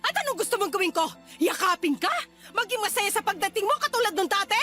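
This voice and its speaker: A woman's voice: very high voice, expressive, quick pace, over music. A woman playing a furious betrayed wife and older sister in a radio drama, scolding and confrontational. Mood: bitter, accusing. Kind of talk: Tagalog radio drama acting.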